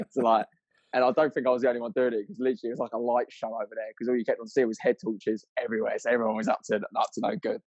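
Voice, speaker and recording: A man's voice: medium pitch, no echo, muffled recording. A British man telling anecdotes as a podcast guest, chatty, rambling and full of casual slang.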